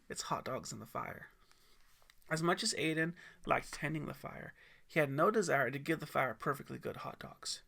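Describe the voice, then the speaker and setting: A man narrating a scripted story as an audiobook reader, in an expressive storytelling style. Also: fairly high pitch, vocal fry.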